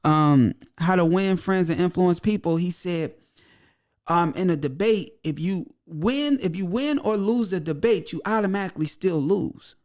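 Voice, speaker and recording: Male podcast guest, high voice, blunt and repetitive for emphasis. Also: normal speed, a little mellow. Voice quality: high-pitched voice. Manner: blunt, repeats his points for emphasis. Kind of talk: casual podcast conversation.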